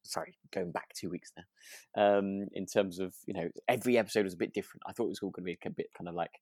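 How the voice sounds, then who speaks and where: A man's voice: low-pitched, steady tone, fast talker, a little mellow, dry recording. A British man co-hosting a podcast in casual chat, with a hesitant, apologetic, rambling way of talking. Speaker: podcast co-host.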